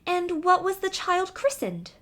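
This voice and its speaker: Little female mouse for a fairy tale woman narrator acting: high, very animated, naive, earnest, anxiously curious. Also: a little slow, full character voice. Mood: puzzled. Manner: exclaiming, wondering, earnest.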